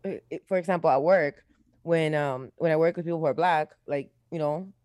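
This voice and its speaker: A woman's voice: sits low, fairly quick, a little mellow, no room sound, studio quality. Rambling young woman, light Latin accent. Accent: light Latin American. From born outside the US. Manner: rambling, lots of fillers and restarts. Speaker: podcast co-host. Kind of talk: casual chat.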